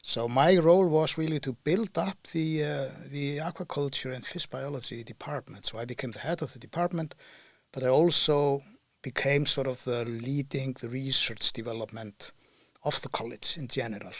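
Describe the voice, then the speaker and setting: A man's voice: mid-range voice, normal speed, fairly bright tone, no room sound. An older man with an Icelandic accent, a guest in a podcast interview, talking about his career thoughtfully with frequent fillers and restarts. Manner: thoughtful, hesitant with many fillers. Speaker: guest ecologist. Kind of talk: relaxed interview talk.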